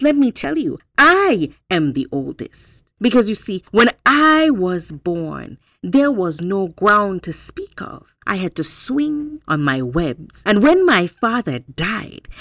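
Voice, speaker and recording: Folktale spider judge, played by a woman: male, self-important and wise, slow, emphatic, animated pitch. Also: no echo. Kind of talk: folktale character acting.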